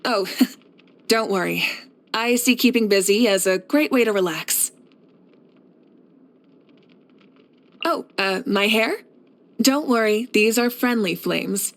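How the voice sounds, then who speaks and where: A woman acts out a fire archon who leads her people in a video game. Her voice sits at a medium female pitch and carries calm confidence. She speaks in measured, encouraging phrases, composed and kindly as a leader. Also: natural voice. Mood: warm, easygoing. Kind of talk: scripted video game character voice lines.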